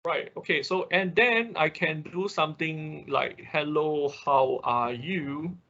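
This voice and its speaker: Higher-voiced male online instructor, dull audio. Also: slow, vocal fry, sharp tone. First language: not English. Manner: step-by-step explaining, often checking with "okay" and "right". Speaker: instructor of an online robotics programming class. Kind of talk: live tutorial with screen demonstration.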